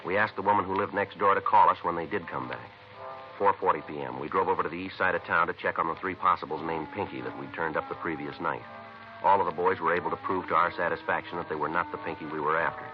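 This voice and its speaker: A man playing a methodical American police detective in a 1950s radio crime drama. His very low voice moves quickly through times and facts, flat in feeling and terse. The old recording is dull, with faint music behind it. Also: lightly acted. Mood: clipped, deadpan. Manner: clipped, matter-of-fact, deadpan. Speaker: narrator and lead detective.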